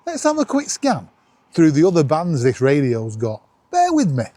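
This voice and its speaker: A man's voice: a little high, normal speed, noisy background. A man with a Northern English accent chatting casually as a vlog host, joking and poking fun at himself. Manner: jokey, animated, self-deprecating. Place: outdoors at night on a seafront promenade. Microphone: camera worn around the neck.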